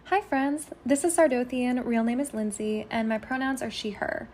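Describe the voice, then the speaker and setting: Higher-voiced woman reading a prepared commentary. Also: moderate pace, darkish tone. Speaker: listener sending a voice message.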